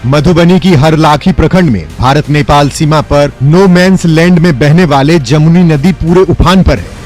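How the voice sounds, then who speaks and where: A man's voice: fairly high pitch, normal speed, darkish tone, soft background music. A man reading scripted news narration as a voice-over reporter in a formal manner.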